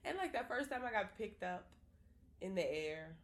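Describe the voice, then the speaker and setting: Young female co-host, lively sing-song delivery, blunt and profane storyteller. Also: mid-range voice, moderate pace. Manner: frank, profane, storytelling with lots of "like". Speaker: podcast co-host. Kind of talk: casual chat.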